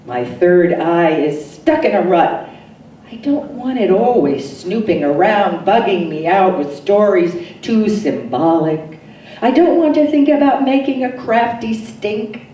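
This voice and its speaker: Slow, animated woman poet in echoey room. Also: mid-range voice. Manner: deliberate, dramatic phrasing with pauses. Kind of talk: poetry reading, recited expressively. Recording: roomy echo, distant mic, faint noise.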